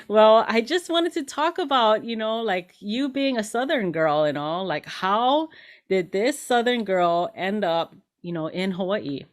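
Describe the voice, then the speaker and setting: A woman's voice: mid-range voice, lively intonation, unhurried. Woman hosting an interview show. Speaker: host.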